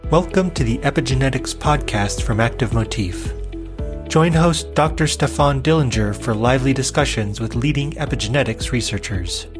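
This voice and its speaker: A man reading the scripted opening promo of a podcast in a smooth, upbeat announcer's delivery. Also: mid-range voice, normal speed. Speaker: show announcer. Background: music.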